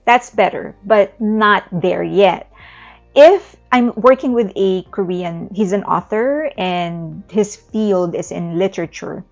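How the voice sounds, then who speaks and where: Filipino-accented woman, gravelly, thoughtful explainer; slightly dull recording with faint music under it. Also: mid-range voice. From Philippines. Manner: thoughtful, explanatory, with small restarts. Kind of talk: reflective video essay talk.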